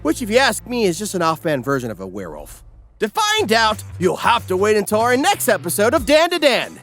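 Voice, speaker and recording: A man's voice: sits high, wildly swooping pitch, fairly quick, a bit bright. A man narrating a scripted comedic recap in a theatrical, crude, sardonic way. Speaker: narrator and host. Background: background music.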